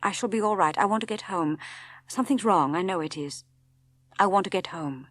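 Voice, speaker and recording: A woman's voice: medium pitch, brisk, voice pushed up, natural voice. A woman reading an audiobook as a brooding middle-aged English husband, terse, grave and insistent. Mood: tense, insistent. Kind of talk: audiobook character dialogue.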